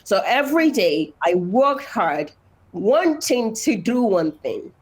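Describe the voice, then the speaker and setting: A woman with a Nigerian accent tells her own story as an interview guest. She talks earnestly and leans on repeated phrases to drive each point home. Her pitch moves freely up and down, sitting at a middle height. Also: very animated, takes it slowly.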